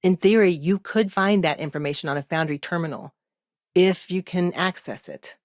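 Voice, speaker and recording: A businesslike female lawyer from a video game, played by an actress, with a slightly low voice. She talks in a measured, matter-of-fact way, guarded and dryly wry. The recording is dry and free of room sound. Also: no echo, lightly acted. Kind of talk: scripted game dialogue, conversational.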